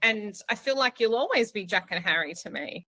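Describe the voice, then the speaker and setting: A middle-aged woman chatting casually in an expressive, effusive way. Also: mid-range voice, moderate pace, dry recording. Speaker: podcast participant, former journalist. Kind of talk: casual conversation.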